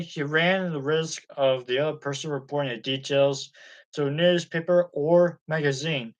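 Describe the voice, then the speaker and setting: A man with a fairly high voice reads a biography aloud. His delivery is plain and steady, with occasional slips and restarts. It sounds like an amateur audiobook reading. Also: low-quality recording. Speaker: audiobook reader.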